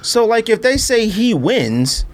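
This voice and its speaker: Male podcast co-host, highish pitch, big swings in intonation, casual slang, emphatic repetition. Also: very animated, slow pace, a little hiss or hum. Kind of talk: casual conversational podcast chat.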